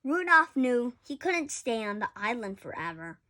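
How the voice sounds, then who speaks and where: A woman's voice: fairly high pitch, big pitch swings, moderate pace, bright, forceful tone. A woman reading a children's picture book aloud expressively, with occasional stumbles and self-corrections. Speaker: story reader.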